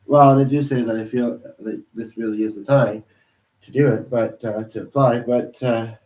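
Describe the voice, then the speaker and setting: A man who is sick and congested, chatting alone on a livestream in a halting way, full of pauses and restarts. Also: medium pitch, steady tone, darkish tone, distant mic. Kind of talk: rambling solo livestream chat. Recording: roomy sound from off-mic.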